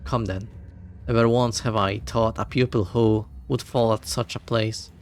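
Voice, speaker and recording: A man's voice: lowish voice, steady tone, a little slow, slight background noise. A man reading aloud as a carefree, wandering old martial arts master who drinks, telling his story at a leisurely pace. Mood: wistful.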